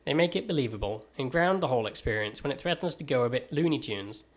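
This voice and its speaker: A man's voice: mid-range voice, expressive, quick pace. A man with a transatlantic accent narrating a scripted game review in a wry, dry-humoured manner.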